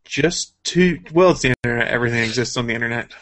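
A man's voice: mid-range voice, quick pace, a bit bright. A man hosting a casual news chat show, talking in a jokey, informal way. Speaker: show host.

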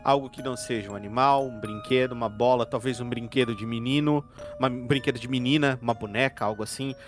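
A man with a Brazilian accent playing a tabletop horror RPG, improvising his character's actions in a joking, halting way. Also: mid-range voice, steady tone. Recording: music playing behind the voice.

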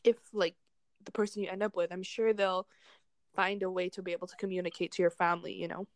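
A young married woman co-hosting a podcast, chatting casually with giggles and hesitant pauses. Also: fairly low pitch, little pitch movement, dry recording, clean studio sound. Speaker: podcast co-host. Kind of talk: casual chat.

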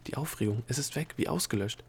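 A man voicing a squeamish, defiant young princess in an audiobook, anxious and grumbling in a breathy way. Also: mid-range voice, fast talker, a little hiss or hum, natural voice. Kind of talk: audiobook character voice.

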